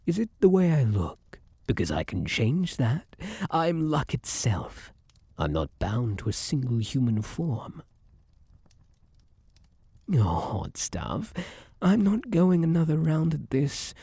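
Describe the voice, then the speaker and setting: A man acts out a smug, possessive male spirit of luck in an intimate ASMR roleplay. He takes his time, with a gravelly creak and pitch that swoops theatrically. The manner is coaxing and suave, with menace underneath. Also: warm tone, no echo, heavily acted. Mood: impatient, insistent. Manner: theatrical, coaxing, old-fashioned flowery slang and endearments.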